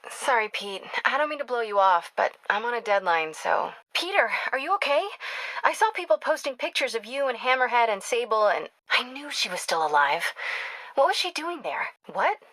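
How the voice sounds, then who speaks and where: A driven young woman reporter from a video game. Her voice is bright and crisp-edged, recorded very dry. She talks in a natural, confiding way, caring and a little wry, as if on the phone to someone close. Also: fairly high pitch, very animated, normal speed, no echo, poor audio. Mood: brisk, affectionate, then concerned, determined. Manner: conversational, earnest, confiding.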